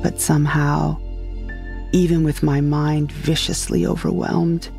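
A woman narrating a scripted first-person comedic sci-fi audio drama in a deadpan storytelling manner. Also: deep voice, a little slow, creaky. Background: music.

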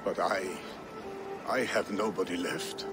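Weary, deep-voiced old Viking. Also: male voice, steady tone, takes it slowly, over music. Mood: solemn, weary. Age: older. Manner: solemn, resigned. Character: weary, loyal old Viking warrior and counsellor. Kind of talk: video game dramatic acting.

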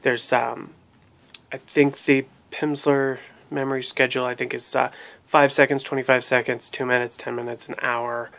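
A man's voice: mid-range voice, level tone, normal speed, vocal fry, fairly bright tone. A man in his thirties giving a casual spoken review of a language course to his webcam in a small room at home, hesitating often with ums and restarts. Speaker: language learning vlogger. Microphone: webcam at desk.

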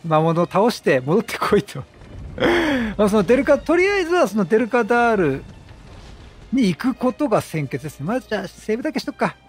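A man streaming a video game with casual, chatty commentary. His voice is high and slightly rough, swinging widely in pitch as he makes joking reactions. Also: sing-song, fairly quick. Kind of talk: casual let's-play commentary. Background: game music.

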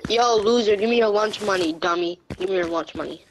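A woman as a bossy anime fighter dad, mid-pitched. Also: fairly quick, close to an everyday voice. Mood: insistent, teasing. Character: bossy, impatient anime fighter father teaching his son. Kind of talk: amateur improvised game roleplay.

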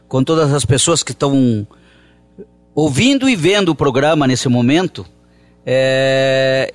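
A middle-aged man from Brazil, chatting quickly and warmly as a guest singer into a stand mic in a rustic studio set. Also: a little high. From southern Brazil. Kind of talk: casual interview chat. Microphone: stand mic in front of the face. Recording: guitar music behind the voice. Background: acoustic guitar playing.

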